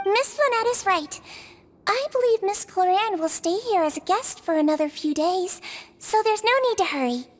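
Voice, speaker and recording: A woman's voice: very high voice, lively intonation, moderate pace, big-room sound. A woman voicing a small, cute, polite and chirpy girlish helper in a game dub. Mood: polite, explaining. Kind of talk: English game dub acting.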